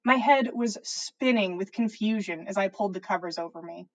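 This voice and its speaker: Expressive woman reading a story aloud. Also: mid-range voice, normal speed, poor audio. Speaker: podcast host reading listener fan fiction.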